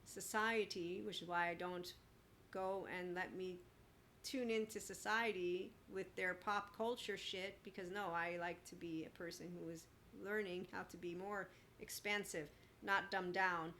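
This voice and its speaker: A woman in her forties speaks in a slightly low voice, hosting a solo podcast. Her delivery is blunt and emphatic, edged with sarcasm as she airs opinions and personal stories. There is some noise in the background of the recording. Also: fairly even intonation, a little slow, bright, forceful tone. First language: bilingual with Italian. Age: 40s. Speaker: podcast host.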